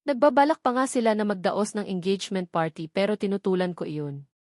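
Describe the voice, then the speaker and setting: A woman's voice: mid-range voice, a little fast, a little mellow, no echo, clean studio sound. A woman with a Filipino accent reading a first-person novel as a scripted narration. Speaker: audiobook narrator.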